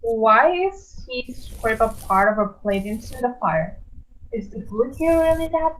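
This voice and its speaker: A woman's voice: fairly high pitch, lively intonation, a little slow, poor audio. A woman playing a naive, bewildered teenage demigod boy in an amateur table read. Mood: confused.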